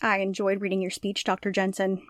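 A woman acts out a helpful secretary in a 1940s radio play. She has a medium-pitched voice, recorded dry, and an obliging, courteous manner. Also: monotone, fairly quick, dry recording, lightly acted. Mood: polite. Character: polite, obliging female stenographer and receptionist.

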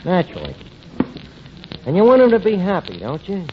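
Scheming, animated tavern manager hustler. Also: male voice, high voice, a little fast. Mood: wheedling. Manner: ungrammatical, wheedling salesman's patter. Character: scheming, fast-talking tavern manager, small-time promoter with grand ideas. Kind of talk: 1940s radio comedy acting. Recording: muffled, noisy 1940s radio recording.

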